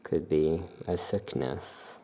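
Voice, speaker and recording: An ASMR performer speaking softly and calmly in the role of a witch shopkeeper, explaining items in an unhurried way. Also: very deep voice, creaky, slight background noise. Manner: soft-spoken, calm, unhurried explaining. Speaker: witch shop keeper presenting amulets. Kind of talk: ASMR soft-spoken shopkeeper roleplay.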